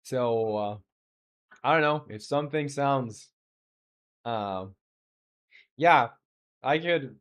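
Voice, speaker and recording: Male game-dev streamer, Spanish first language, mid pitch. Casual, halting think-aloud delivery with lots of pauses. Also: fairly bright tone. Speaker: game developer streaming live. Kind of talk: casual live-stream chat and coding talk.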